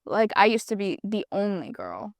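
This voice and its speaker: Smooth-voiced woman, hedging casual talk. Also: mid-range voice, very animated, moderate pace, no echo. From Massachusetts. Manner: hesitant, hedging. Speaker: podcast co-host. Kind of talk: casual chat.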